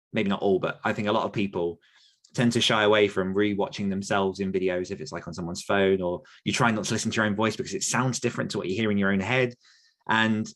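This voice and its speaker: A man, answering listener questions on a podcast in a rambling, self-correcting way. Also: lowish voice. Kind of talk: casual Q&A chat.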